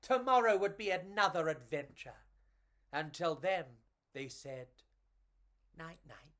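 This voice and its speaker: A woman reading to children as a storyteller, with a theatrical, playful and measured delivery. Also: on the low side, very animated, fairly bright tone.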